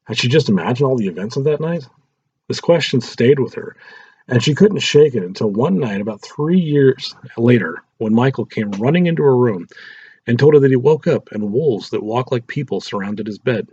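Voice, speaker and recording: A man's voice: medium pitch, lively intonation, normal speed, darkish tone, husky, no room sound, lo-fi sound. A man narrating a scripted story in an audiobook style.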